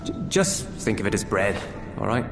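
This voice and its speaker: Low-voiced, reserved soldierly man, roomy echo. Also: normal speed, gravelly. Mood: friendly. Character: reserved, kindly Garlean man, soldierly and awkward. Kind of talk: video game cutscene acting. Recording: roomy echo with music underneath.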